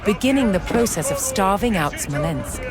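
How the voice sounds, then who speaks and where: A woman giving scripted, documentary-style narration for a historical strategy game, measured and formal in her storytelling. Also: medium pitch, big pitch swings. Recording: music behind the voice. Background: music.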